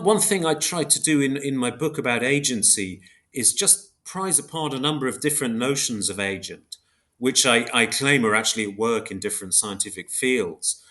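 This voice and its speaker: A man's voice: medium pitch, fairly quick, pushed and bright. A man explaining concepts as an academic interview guest, in a precise but hesitant way, often correcting himself. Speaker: philosopher guest, book author.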